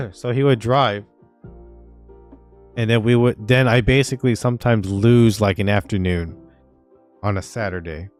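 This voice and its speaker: A young man with a somewhat low voice chats casually on a game stream, wandering through his stories with plenty of fillers and restarts. Soft game music plays faintly behind him. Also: a little slow, pauses often. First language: Vietnamese. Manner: rambling, filler-heavy storytelling. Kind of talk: casual gaming stream chat.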